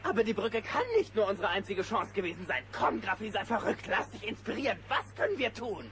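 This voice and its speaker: A man voicing a flamboyant, excitable bear artist with a theatrical, breezy, self-satisfied delivery in a German children's audio drama. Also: high voice, fairly quick. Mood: encouraging. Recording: noisy old cassette audio drama.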